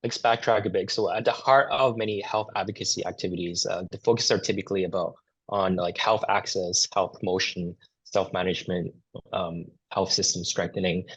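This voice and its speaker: A man's voice: low-pitched, steady tone, fairly quick, slightly rough, no echo, poor audio. A man co-hosting a podcast, putting questions in a conversational, somewhat hesitant way. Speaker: podcast co-host. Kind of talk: conversational interviewing.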